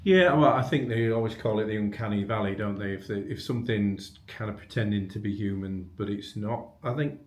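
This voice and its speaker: Male interviewer for a business webinar, conversational and unscripted, lower-pitched, in a reverberant room. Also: brisk, hardly any pauses. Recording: echoey room.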